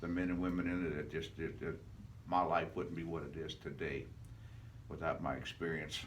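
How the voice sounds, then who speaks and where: A man in his seventies, a retired police officer, reminiscing in a casual interview in a halting way, repeating words and restarting. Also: a bass voice, level tone, lo-fi sound. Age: 70s. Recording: distant mic, faint background noise.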